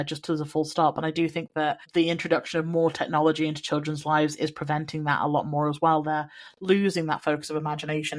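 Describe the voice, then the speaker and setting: Low-voiced chatty woman on a podcast. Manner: chatty, lots of likes and agreeing mms. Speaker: podcast co-host. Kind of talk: casual conversational podcast.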